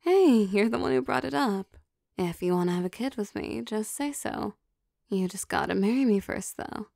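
A woman playing an affectionate, possessive vampire girlfriend in an intimate ASMR roleplay, speaking in a soft, teasing, coaxing manner. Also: medium pitch, animated, normal speed, vocal fry, no echo, studio quality. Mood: teasing, fond. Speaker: voice actor.

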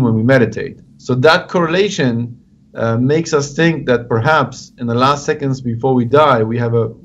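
A man's voice: medium pitch, a little hiss or hum. A man in his thirties who grew up in Germany, a neurosurgeon explaining research over a video call from a home office, with frequent ums and uhs. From Afghanistan, raised in Germany. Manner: hesitant with many fillers, explanatory. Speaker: neurosurgeon, interview guest. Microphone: video call webcam. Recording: dull lo-fi video call audio.

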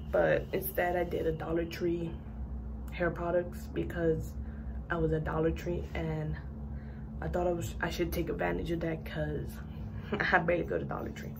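A young woman with a raspy voice, just up from sleep, chatting casually through a hair product review in a small bathroom. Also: lowish voice, background noise. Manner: chatty, rambling with fillers. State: just woke up. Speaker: beauty vlogger. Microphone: phone camera at arm's length.

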